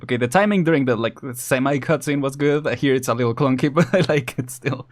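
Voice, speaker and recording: A man's voice: mid-range voice, fast. A man streaming a video game with casual, hesitant, chuckly running commentary.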